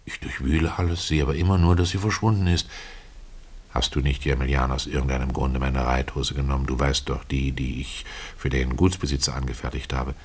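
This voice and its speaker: A man's voice: rumbling low, level tone, brisk, slightly rough. An actor playing a humble, pious Russian tailor who confides his regrets in a folksy, ruminative radio-play storytelling manner. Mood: suspicious, brooding. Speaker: narrator of a literary radio play. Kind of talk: dramatic first-person storytelling.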